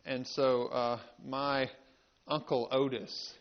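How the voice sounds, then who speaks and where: A man with a Southern American accent and a mid-pitched voice speaks to an audience in a folksy, storytelling way. He goes haltingly, repeating words as he finds his way. The live recording sounds dull and band-limited. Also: level tone. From rural North Carolina, United States. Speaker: invited panel speaker.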